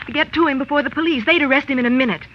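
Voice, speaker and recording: A woman's voice: sits high, racing, natural voice. A woman playing an anxious, pleading young American client in a 1940s radio detective drama, earnest and melodramatic. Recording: dull, noisy old radio recording.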